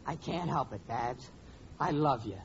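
An actor playing a hapless, melodramatic young student suitor who gushes in overwrought fashion, in a 1940s radio sitcom. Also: male voice, mid-range voice, expressive, a little slow, crowd noise. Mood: lovestruck. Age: young adult. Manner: gushing, overwrought. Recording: muffled, noisy old radio recording.

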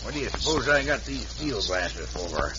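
A sly cowboy outlaw boss. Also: male voice, fairly low pitch, big pitch swings, normal speed, a crowd in the background. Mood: scheming. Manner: hard-bitten cowboy drawl, barks orders. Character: scheming western outlaw leader, bossy and sly. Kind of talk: 1940s radio western acting. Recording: dull hissy old radio recording.

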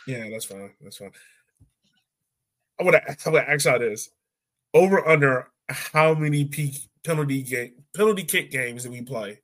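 A man's voice: mid-range voice, lively intonation, moderate pace, a bit bright. A man hosting a sports podcast in a casual, conversational way. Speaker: podcast host.

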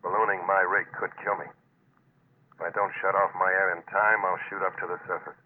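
Man acting a low-voiced, gritty salvage diver. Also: flat delivery, fairly quick, lightly acted. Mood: grimly resolved. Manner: terse, intense, hard-boiled delivery. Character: gritty, stubborn deep-sea salvage diver, grimly determined man. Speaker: lead actor and first-person narrator. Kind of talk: old-time radio suspense acting. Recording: noisy, tinny old radio recording.

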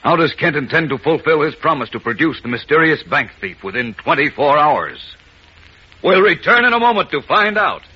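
A man's voice: fairly high pitch, sing-song, normal speed, breathy edge. A man narrating a 1940s radio serial in a dramatic scripted style. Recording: muffled vintage radio broadcast.

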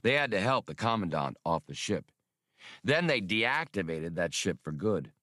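A man reading a science fiction adventure novel as an audiobook narrator, in a measured, deliberate and expressive storytelling style. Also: deep voice, no echo, clean studio sound.

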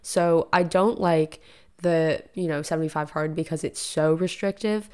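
A young woman talks through her own solo podcast from her living room, chatty and full of fillers. Her pitch sits on the low side, and her voice is raspy and a touch deeper from just having woken up. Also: steady tone, normal speed, a little mellow. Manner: chatty, rambling, filler-heavy. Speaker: podcast host. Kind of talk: casual solo podcast monologue.

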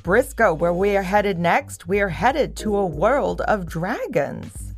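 A woman voicing a gentle, curious male dog adventurer in a children's audio story, in a wondering, emphatic manner. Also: medium pitch, animated, takes it slowly, faint music behind.

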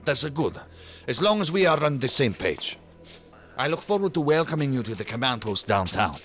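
An actor playing an apologetic, courteous officer in an audio drama, chatty and polite with slightly hesitant phrasing. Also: male voice, mid-range voice, big pitch swings, brisk, fairly bright tone, faint music behind. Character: apologetic, courteous male law officer greeting visiting agents.

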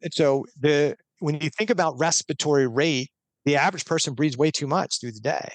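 A man's voice: fairly high pitch, brisk, dry recording. A man talking as a guest expert on a podcast, explaining earnestly step by step with a few restarts.

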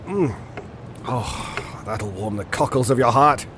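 Man as a slow, bluff old sergeant major. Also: medium pitch, noisy background, natural voice. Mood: bluff, genial. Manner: bluff, clipped pleasantries, repeats himself. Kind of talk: audio drama acting.

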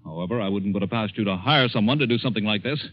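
A man's voice: on the low side, very fast, soft background music, lightly acted. An actor playing a scornful, indignant man targeted for murder, brusque and dismissive in 1940s radio suspense style. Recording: dull, band-limited 1940s radio recording.

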